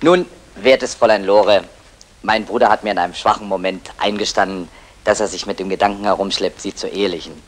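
Actor as a wily, smooth-talking crook. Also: male voice, on the low side, steady tone, brisk, close to an everyday voice. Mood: mock-solemn. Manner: mock-formal, slangy, chummy. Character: wily, smooth-talking small-time crook, jovially meddling brother. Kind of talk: 1960 German radio comedy acting.

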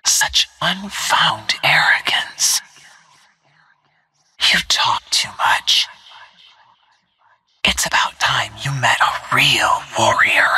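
An actress voicing a cold, self-assured assassin soldier in a video game, with a breathy, clipped, deliberate delivery. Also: female voice, extremely low pitch, pushed and bright, heavily acted, poor audio. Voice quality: breathy, whispery. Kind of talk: scripted video game voice lines.

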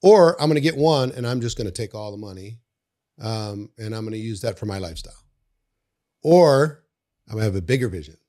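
A man's voice: fairly low pitch, expressive, brisk. Rambling older male podcast host. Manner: rambling, repeats words, trails off quietly. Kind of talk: casual interview chat. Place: studio with bookshelves. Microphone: studio mic on boom arm.

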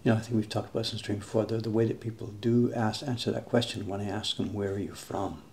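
A man's voice: fairly low pitch, flat delivery, quick pace, darkish tone, slight background noise. An older man hosting a casual live-stream chat, speaking emphatically and repeating himself for stress. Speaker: craftsman host of a live stream. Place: indoor workshop.

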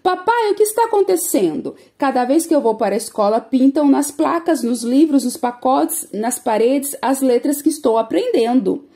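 A woman doing a high, curious little boy. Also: expressive, moderate pace, few pauses, warm tone. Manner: eager, full of questions.

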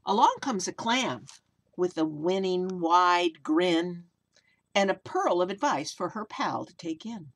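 Low-voiced older woman reading a picture book. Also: expressive, takes it slowly. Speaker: children's story reader. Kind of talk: expressive picture-book read-aloud with casual asides. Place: small attic room at home.

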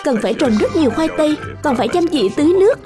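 A woman voicing a patient cartoon father in a children's dub, explaining things in a gently firm way. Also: high-pitched, animated, very fast, over music. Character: patient, instructive cartoon father of a small boy.

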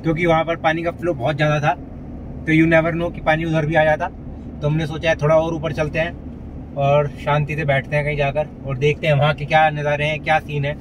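A man's voice: a little high, completely flat delivery, a little fast, noisy background. A man narrating a vlog as he drives, chatty and pointing things out to viewers, mixing English phrases into his talk. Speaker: vlogger. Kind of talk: casual narration while driving. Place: inside a car.

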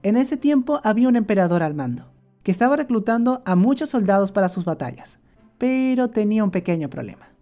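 Woman narrator for a cartoon; sardonic, joking, warm low tone. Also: sing-song, fairly quick, faint music behind. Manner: sarcastic, playful asides. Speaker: animator narrator. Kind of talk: comic scripted narration.

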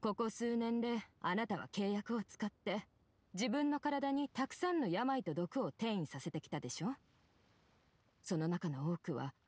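A woman playing a grave, worried, perceptive physician in a video game, speaking in a measured, serious way. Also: mid-range voice, a little mellow, slightly rough, no echo. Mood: worried, earnest. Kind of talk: dramatic Japanese game voice acting.

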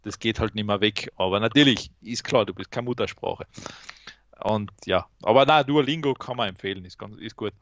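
A man's voice: lowish voice, fairly quick, fairly bright tone, no room sound, lo-fi sound. A man with an Austrian accent chatting casually as a podcast co-host, explaining things in colloquial speech. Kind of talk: casual chat.

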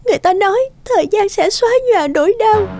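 A woman's voice: very high-pitched, fast, dark tone, a little hiss or hum. A woman playing a worried young wife and mother in a cartoon, pleading earnestly with reproach. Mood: bitter reproach. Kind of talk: cartoon dubbing, dramatic. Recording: music behind.

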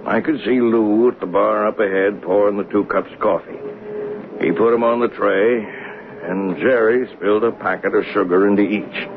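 Guy acting as a plain-talking train conductor telling a story on old radio, lowish voice, tinny sound. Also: steady tone, natural voice. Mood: matter-of-fact. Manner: folksy, halting storytelling. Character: train conductor recounting events, plain-spoken, observant. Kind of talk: 1970s radio drama narration. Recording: noisy, narrow-band old radio broadcast.

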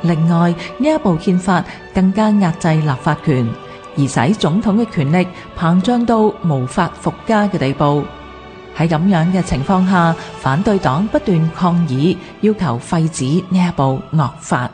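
A woman with a fairly low voice narrates history in Hong Kong Cantonese. Her delivery is scripted, in the manner of a radio documentary. Music plays faintly behind her. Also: lively intonation, a little slow, darkish tone.

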